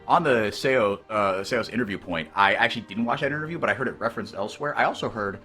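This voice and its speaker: A man calling esports play-by-play, excitable and rising sharply when fights break out. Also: on the low side, quick pace, faint music behind.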